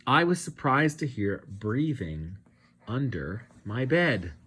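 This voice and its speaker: Slow, animated male storybook narrator. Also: mid-range voice, slightly rough. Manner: dramatic, expressive, suspenseful. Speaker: read-aloud teacher narrating. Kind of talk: children's storybook read-aloud.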